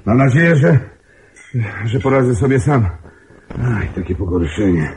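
An actor in a Polish radio drama playing a courteous but controlling master of the house, paternal and insistent. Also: male voice, mid-range voice, sing-song, moderate pace, darkish tone. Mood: soothing. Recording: hissy old radio drama recording.

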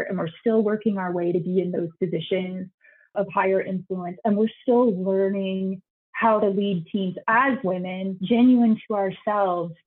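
A young woman architect answering interview questions on a video call, earnest and quick to repeat her words, her voice going high when she gets excited. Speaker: architect guest. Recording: tinny call audio.

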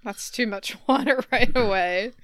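A woman's voice: fairly high pitch, unhurried, fairly bright tone, no echo. A woman co-hosting a podcast in casual banter, with a giggly, playful manner. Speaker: podcast co-host.

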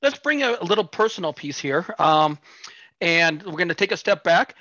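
Male podcast host, slightly high and bright, friendly teasing questions, dry dull recording. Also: lively intonation, normal speed, no echo. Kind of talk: casual interview questions.

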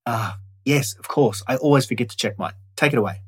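A man with a slightly husky voice, narrating a scripted audio guide in a conversational way that instructs the listener directly. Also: mid-range voice, brisk.

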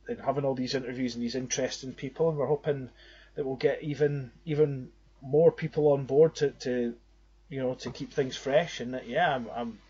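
A man's voice: mid-range voice, darkish tone, husky. Rambling young man on a muffled podcast. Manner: earnest, rambling. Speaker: podcast co-host. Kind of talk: casual podcast chat. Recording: slightly muffled lo-fi audio.

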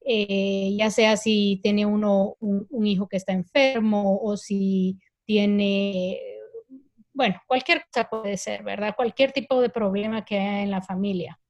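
A woman from Guatemala with a Guatemalan Spanish accent, speaking slowly and thoughtfully with frequent hesitations as a guest in a discussion. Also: medium pitch, fairly even intonation, darkish tone. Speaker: guest speaker on parenting and self-care. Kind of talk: casual discussion.

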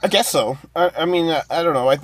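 A man's voice: on the high side, fast, no room sound. A man in casual conversation, talking in a hedging, skeptical way. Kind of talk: casual chat.

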